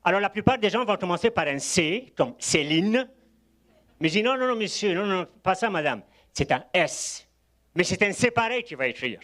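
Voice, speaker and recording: A man's voice: high voice, animated, moderate pace. An older man from Egypt, a guest preacher giving a sermon, telling anecdotes with chuckling asides. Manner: warm storytelling with asides and chuckles.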